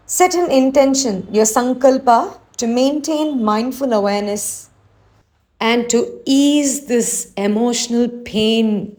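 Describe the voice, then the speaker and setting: A woman teacher leading a guided meditation, speaking in a soothing, unhurried, gently instructing way. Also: a little high.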